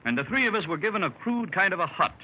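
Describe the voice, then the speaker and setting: Here is a dramatic male explorer from a 1930s radio adventure. His voice is high and swings widely as he tells the tale, and it turns to urgent shouted orders when the chase begins. The sound is a muffled old radio recording with faint hiss. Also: lively intonation, fast. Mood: grave. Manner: dramatic storytelling, shouting orders in the action scene. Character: daring male explorer recounting a jungle escape. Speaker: club member telling his adventure.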